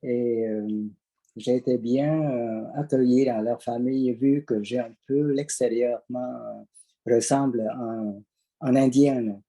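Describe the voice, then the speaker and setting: An older man, giving a personal account and searching haltingly for his words. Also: mid-range voice, steady tone, warm tone, low-quality recording. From Vietnam. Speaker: audience participant. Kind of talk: personal account at an online conference.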